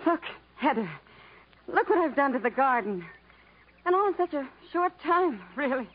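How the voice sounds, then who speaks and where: An actress playing a gentle, wistful woman in love, soft and hesitant, in a 1950s radio drama. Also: high-pitched, big pitch swings, normal speed. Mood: eager, proud. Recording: dull old 1950s radio recording.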